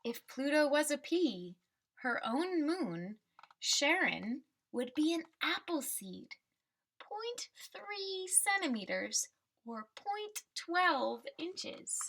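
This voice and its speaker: A woman reading a children's picture book aloud and explaining it simply, in a deliberate teaching manner. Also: sits high, very animated, a bit bright, a little hiss or hum.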